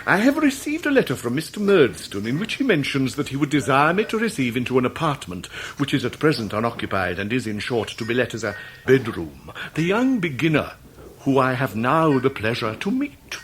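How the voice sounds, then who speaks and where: An actor playing a grandiloquent, middle-aged British gentleman, long-winded and florid, in a radio drama. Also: medium pitch, sing-song, a little fast. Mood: pompous, courteous. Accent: British English. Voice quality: theatrical, orotund delivery.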